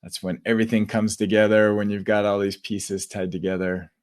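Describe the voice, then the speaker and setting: A man hosting a tech podcast, giving upbeat shout-outs in a relaxed, casual way with fillers. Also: fairly low pitch, brisk. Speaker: podcast host.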